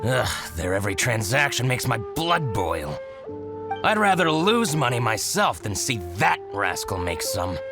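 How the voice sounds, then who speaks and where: An actor playing a spiteful, scheming middle-aged merchant who gloats and fawns in a game cutscene. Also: male voice, medium pitch, sing-song, measured pace. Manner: gloating, theatrical, fawning. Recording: game cutscene with background music.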